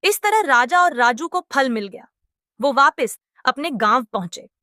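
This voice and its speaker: Bright high-voiced woman narrating. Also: animated, unhurried, no room sound, studio quality. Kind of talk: scripted storybook narration.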